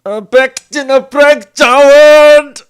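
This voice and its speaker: A man voicing a fierce dark-elf matron in a fantasy audiobook, shouting commands with strain. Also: extremely high pitch, steady tone, slow pace, pitched above the performer's own voice. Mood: agonized, furious.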